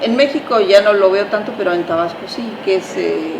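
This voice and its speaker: A woman's voice: medium pitch, brisk, vocal fry, roomy sound, background noise. A woman with a Mexican accent speaking as an interviewer in a conversational exchange.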